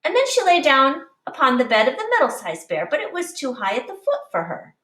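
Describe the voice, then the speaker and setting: A woman teacher reading a picture book aloud to young children with deliberate, explanatory expression. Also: high-pitched, huge pitch swings, pushed and bright. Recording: echoey room, distant mic.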